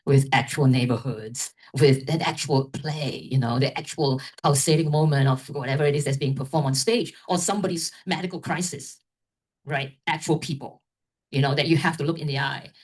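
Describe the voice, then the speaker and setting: A woman's voice: low voice, steady tone, normal speed, a little mellow, husky. A woman moderating an academic event's discussion, rambling and often checking in with "right?". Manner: rambling, tags remarks with "right?".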